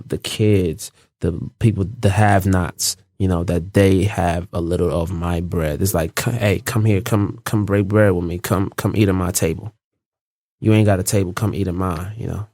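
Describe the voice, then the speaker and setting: A young man from Los Angeles talks in an unhurried, rambling way, often circling back and restarting his thoughts. His voice sits deep and low. The sound is dry and close, with no room around it. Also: a little mellow, dry recording. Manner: laid-back, rambling, frequent fillers and restarts. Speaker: guest. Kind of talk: casual interview chat.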